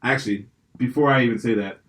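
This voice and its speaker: A man's voice: medium pitch, quick pace. A young man from Pennsylvania hosting a podcast, telling rambling stories in casual, profane chat. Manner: profane, rambling, animated storytelling. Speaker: podcast host.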